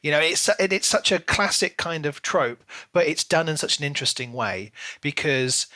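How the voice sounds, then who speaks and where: A man co-hosts a casual book discussion with an animated manner. His voice sits a little high and is notably bright and crisp-edged. The sound is very dry, with hardly any room to it. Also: normal speed, dry recording. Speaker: podcast co-host.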